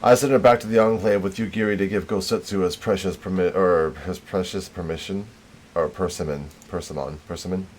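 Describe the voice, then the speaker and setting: A man's voice: low voice, steady tone, fairly quick, a little hiss or hum. A man live streaming a game, chatting casually in a laid-back way with some casual swearing.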